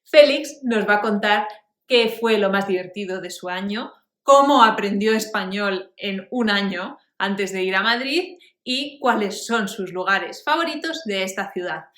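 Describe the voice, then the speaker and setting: A woman's voice: medium pitch, unhurried, fairly bright tone. A woman hosting a language channel, interviewing a guest with friendly, encouraging questions. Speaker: host and language teacher. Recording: echoey room.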